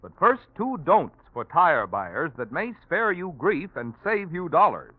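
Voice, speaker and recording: A man's voice: a little high, very animated, a little slow, no room sound. An American man working as a radio announcer, reading a sponsor message in 1940s broadcast style. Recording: tinny, dry vintage radio recording.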